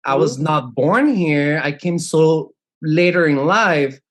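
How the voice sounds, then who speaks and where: A man's voice: on the high side, takes it slowly. A man from Mexico hosting a podcast conversation, responding earnestly and searching for his words. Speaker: podcast host, college instructor. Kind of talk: conversational interview.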